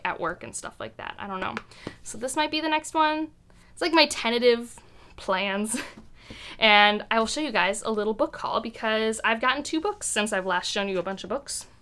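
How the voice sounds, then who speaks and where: A woman's voice: medium pitch, fairly bright tone. A woman chatting casually to camera about books, enthusiastic and rambling off into asides as she goes. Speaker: book vlogger.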